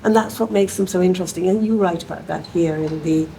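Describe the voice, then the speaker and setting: A woman, speaking on a panel in a thoughtful way, with many pauses and fillers. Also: lowish voice, vocal fry, dark tone, a little hiss or hum, low-quality recording. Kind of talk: panel discussion.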